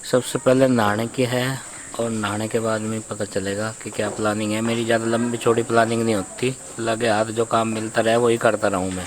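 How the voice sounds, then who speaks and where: A man's voice: medium pitch, a little hiss or hum, poor audio. A man chatting casually and joking about his day plans at home outdoors. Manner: relaxed, joking, talks softly at times. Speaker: family vlogger. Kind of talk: casual chat.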